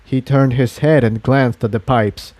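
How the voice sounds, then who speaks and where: A man's voice: medium pitch, steady tone, a little slow, darkish tone. A man reading fan fiction aloud as an amateur narrator, in scripted storytelling style.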